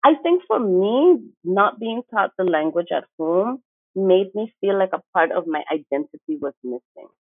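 A woman's voice: medium pitch, animated, normal speed, husky, no echo. A woman from St. Martin talks as an interview guest, earnest and encouraging, pressing her points with emphasis. Her voice comes through a thin, phone-like line on a remote call, dry with no room around it. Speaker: guest, teacher. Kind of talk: conversational interview.

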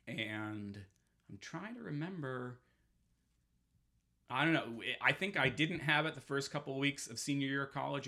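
A man's voice: medium pitch, pushed and bright. A man in his forties from Massachusetts, chatting casually on a podcast and telling rambling stories full of fillers and swearing. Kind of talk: casual chat.

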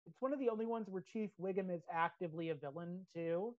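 A woman's voice: on the low side, fast, no echo, dull audio. A woman with an American accent chatting casually about pop culture as a podcast co-host.

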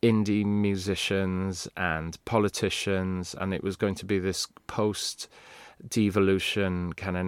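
Low-voiced man, rambling. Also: clean tone, no room sound. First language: Welsh. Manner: rambling, restarts, candid. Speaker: guest. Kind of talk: casual interview talk.

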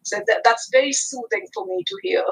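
A woman whose first language is Hindi, a teacher answering interview questions in a measured, earnest way. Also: high-pitched, sing-song, slightly rough, poor audio. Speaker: teacher, podcast guest.

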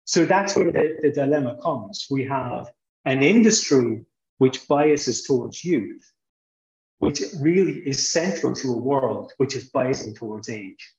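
A middle-aged Irish man answering interview questions in a measured, explanatory way, sometimes restarting a phrase. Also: medium pitch, animated, a little mellow, roomy sound, dull audio. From Ireland. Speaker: guest, technology executive.

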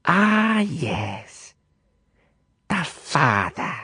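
A man voicing an imperious older woman, a spiteful chain-smoker with a rasping voice, speaking slowly and possessively in an audiobook reading. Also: a little high, big pitch swings, dry recording, studio quality, pitched above the performer's own voice, full character voice. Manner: reproachful, slow, possessive.

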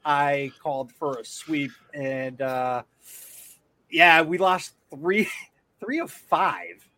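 A man's voice: on the high side, animated, very slow, sharp tone, dry recording. Male podcast host, slightly raised voice, loose and profane delivery with stumbles. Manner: loose and profane, with frequent restarts. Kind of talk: casual sports chat.

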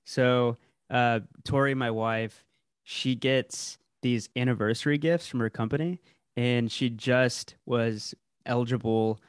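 A man co-hosting a podcast, telling a personal story in a relaxed, joking way. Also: mid-range voice, takes it slowly, clean tone, no room sound, studio quality. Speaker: podcast co-host. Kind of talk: casual chat.

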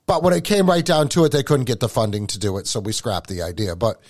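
A man with a New England accent, hosting a podcast and chatting in an animated, casual way, often repeating words as he starts a thought. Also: medium pitch, quick pace, no room sound. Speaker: podcast host.

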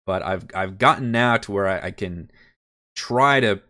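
A man with a somewhat low voice sounds nasal and congested from a head cold. He talks loosely and thinks aloud as a game master on a livestream, with frequent fillers and restarts. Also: unhurried, bright, forceful tone. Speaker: game master and channel host. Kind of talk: casual livestream Q&A.

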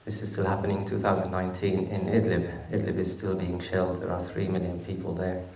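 A man from Syria speaking as a panel guest, soft-spoken and halting, with many ums, still shaken. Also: low-pitched, completely flat delivery. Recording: roomy hall from far off.

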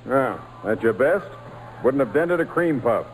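An actor playing a gruff, terse but fair baseball team manager in an old-time radio drama. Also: male voice, mid-range voice, lively intonation, measured pace, faint music behind, close to an everyday voice. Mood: brisk, challenging. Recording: faintly noisy old radio recording.

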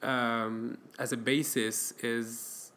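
A young man from France talks slowly in a relaxed podcast chat. His voice sits at an ordinary middle pitch. He hesitates often, with fillers and restarts as he gives advice. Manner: halting, many fillers and restarts. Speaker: podcast co-host. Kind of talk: casual chat.

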